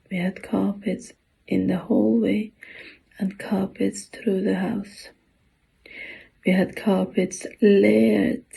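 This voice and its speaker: A woman in her forties from Afghanistan, with an Afghan accent, reading her own poem slowly and haltingly, pausing between phrases. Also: mid-range voice, gravelly, dark tone, husky, low-quality recording. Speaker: poet.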